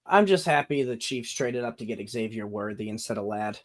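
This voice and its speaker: A man's voice: fairly low pitch, animated, a little fast. A man with a nasal voice, hosting a sports podcast in a friendly, upbeat way. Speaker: podcast host.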